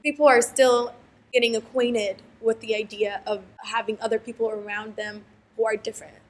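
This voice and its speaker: Higher-voiced young woman from Saudi Arabia. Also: steady tone, normal speed, a little hiss or hum, rough recording. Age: young adult. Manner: thoughtful, emphatic repetition. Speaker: student interviewee. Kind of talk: reflective interview answers.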